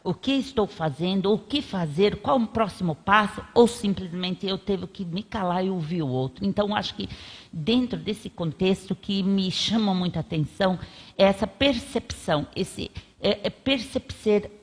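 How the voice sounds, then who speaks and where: A woman with a Brazilian accent and a husky voice, contributing to an informal group discussion. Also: medium pitch, moderate pace. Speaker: discussion participant. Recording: reverberant hall.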